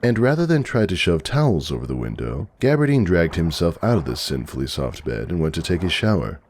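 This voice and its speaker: A man's voice: sits low, big pitch swings, brisk, darkish tone, slight background noise. A man narrating a story from a script as a dramatic reading. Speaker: narrator, fan fiction reader.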